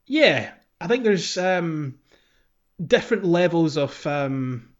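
A man's voice: a little high, very animated, takes it slowly, lo-fi sound. A man co-hosting a casual video game podcast, talking in a hesitant way as he searches for his words. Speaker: podcast co-host.

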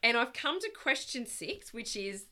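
A middle-aged woman with a loud voice that projects, chatting as a guest in a casual interview, rambling and laughing often. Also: fairly high pitch, big pitch swings, a little slow. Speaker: guest, parent of the host.

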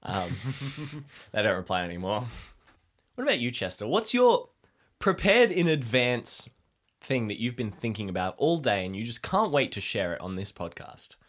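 Male podcast co-host, animated pitch, playful and casual. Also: moderate pace.

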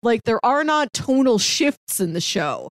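Lively-pitched woman, close dry sound. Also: a little slow, dry recording. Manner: informal, conversational. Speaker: podcast host. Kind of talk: casual review chat.

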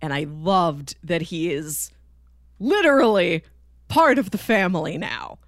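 A woman's voice: fairly low pitch, lively intonation, unhurried, dry recording, clean studio sound. A woman co-hosting a podcast, talking casually and articulately about pop culture in well-formed summaries. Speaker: podcast co-host.

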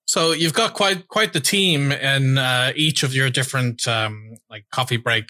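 Bright-voiced podcast host, halting. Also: male voice, medium pitch, no room sound. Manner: halting, many fillers. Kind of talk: casual interview questions.

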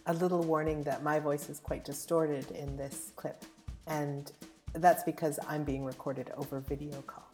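Low-voiced woman podcast host over music. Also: little pitch movement, moderate pace, a little mellow. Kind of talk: scripted narration.

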